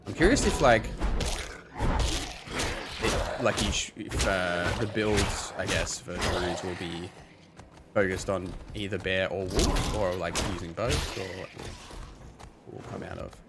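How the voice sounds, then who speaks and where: A man with a hoarse, worn voice, casually commentating a game stream to his chat and thinking aloud with lots of fillers. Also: deep voice, a little slow, long pauses, heavy background noise. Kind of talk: casual live-stream commentary, chatting with viewers. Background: game music.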